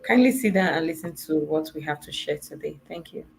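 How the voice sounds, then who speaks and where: A woman's voice: low-pitched, fairly quick, creaky. A woman from Nigeria introducing herself courteously as a panel guest. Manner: courteous, formal.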